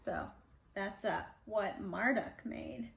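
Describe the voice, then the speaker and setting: A woman's voice: medium pitch, slow pace. A woman reading a storybook aloud to kids at home, breaking off for casual, chatty asides to a child. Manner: chatty asides to child between read passages. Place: indoors at home. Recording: roomy echo, clear background noise.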